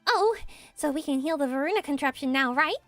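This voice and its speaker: A woman voicing a small, excitable, whiny and chirpy fairy girl companion from a video game, with dramatic exclamations. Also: sits high, brisk, no room sound, faint music behind. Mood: puzzled. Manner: exclamatory, dramatic, refers to herself by name.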